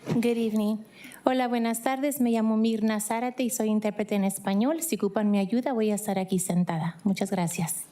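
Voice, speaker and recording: A woman's voice: medium pitch, fairly even intonation, fast talker, dark tone, a little hiss or hum. A woman working as an interpreter, making a short, polite formal announcement. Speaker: Spanish interpreter introducing herself.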